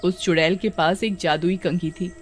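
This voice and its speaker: A woman voicing a sensitive, naive village girl with plaintive, expressive delivery in an animated story. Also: lowish voice, moderate pace, faint music behind, lightly acted. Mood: excited.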